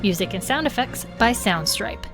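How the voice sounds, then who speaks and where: A woman's voice: medium pitch, expressive, moderate pace, over music. A woman announcer reading scripted show credits.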